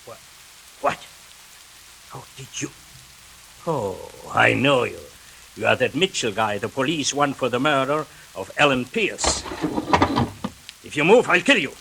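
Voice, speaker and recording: Surly junkyard fence, gravelly tense voice, threatening, hissy old radio drama. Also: male voice, medium pitch, animated, unhurried, pauses often, sharp tone, full character voice, rough recording. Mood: menacing. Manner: gruff, menacing. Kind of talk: 1940s radio acting.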